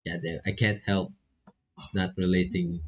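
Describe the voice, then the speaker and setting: Slow, husky man streaming a game. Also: low-pitched, level tone, dull audio. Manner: laid-back, swears, reacts freely to the story. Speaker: live streamer playing a visual novel. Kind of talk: casual game commentary and reading aloud.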